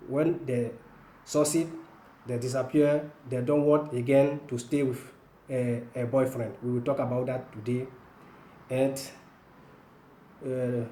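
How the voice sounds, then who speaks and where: An Ivorian-accented man, native in Woloduka, hosts a language lesson in a soft, dark-toned voice. He explains deliberately and repeats his key points. The room around him adds clear echo. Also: medium pitch. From northwestern Ivory Coast. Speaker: language teacher, video host. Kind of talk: informal language lesson to camera. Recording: roomy, echoing room sound.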